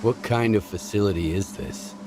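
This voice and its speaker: An actor playing a serious, determined stalker in a video game, terse and plain-spoken. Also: male voice, on the low side, moderate pace, lightly acted. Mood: firm. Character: serious, determined male stalker questioning others. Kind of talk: video game cutscene acting. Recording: reverberant hall, clear background noise.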